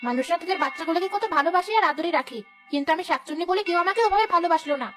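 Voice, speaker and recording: Cartoon casting: a woman as young she-ghost, gruff yet motherly, very high fast voice, scolding. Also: fairly bright tone, soft background music. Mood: tender, wistful. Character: young female village ghost, gruff but motherly, protective. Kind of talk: Bengali cartoon voice acting.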